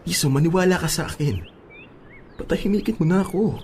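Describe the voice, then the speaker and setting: A man's voice: fairly high pitch, a little fast, darkish tone, slight background noise, natural voice. A man acting a young man in a radio drama, firmly and wearily turning away his ex-girlfriend. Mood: exasperated pleading. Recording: music under the voice.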